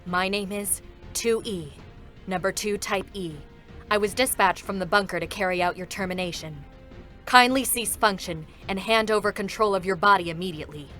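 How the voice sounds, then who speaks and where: A woman's voice: medium pitch, normal speed, pushed and bright, faint music behind, close to an everyday voice. A woman voicing a cold, formal female android executioner who speaks politely and icily. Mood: coldly formal. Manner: formal and icy, with a menacing laugh.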